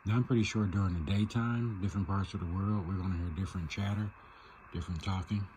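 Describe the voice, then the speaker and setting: A man's voice: low-pitched, steady tone, fast, noisy background. A man giving a casual, off-the-cuff tutorial, thinking aloud in an informal way as he demonstrates. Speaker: video creator demonstrating shortwave radio listening. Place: indoors at a laptop. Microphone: handheld phone behind the camera.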